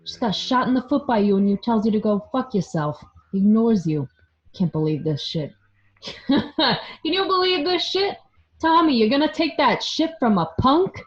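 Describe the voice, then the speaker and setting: A woman's voice: on the high side, very animated, normal speed, highs cut off. A woman playing an amused, needling mobster in a radio drama, goading and profane. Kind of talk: amateur radio drama acting.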